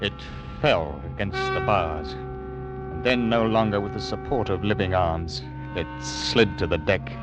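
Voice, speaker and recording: Grim ship captain telling his story, old radio drama, expressive, music underneath. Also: deep voice. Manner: grave, terse, dramatic. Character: uneasy, stern sea captain narrating his grim tale. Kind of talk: old-time radio dramatic narration and dialogue.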